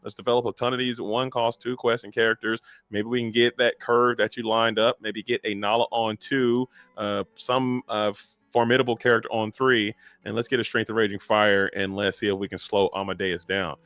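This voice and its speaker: A man giving lively, joking play-by-play commentary on a live card game. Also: on the low side, no echo. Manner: energetic, joking, drawn-out emphasis.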